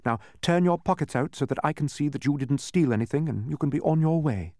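Man playing bossy storybook woman, high pitch, animated swoops, proud, impatient, imperious, dry sound. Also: normal speed, no room sound. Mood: stubborn, impatient. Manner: imperious, instructive. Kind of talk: storybook character voice.

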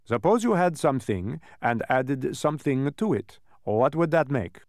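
Man voicing a cheerful, high-pitched smug creature. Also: lively intonation, moderate pace, a little mellow, no room sound, clean studio sound, natural voice. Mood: eager, instructive. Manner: exclamatory, explaining eagerly. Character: cheerful, smug, many-faced dodecahedron creature.

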